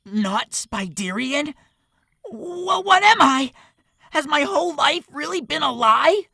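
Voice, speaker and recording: A woman's voice: high-pitched, lively intonation, slow pace, creaky, bright, forceful tone, dry recording, clean studio sound, heavily acted. A woman voicing an earnest young male hero in an audio drama. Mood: anguished. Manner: earnest, pleading.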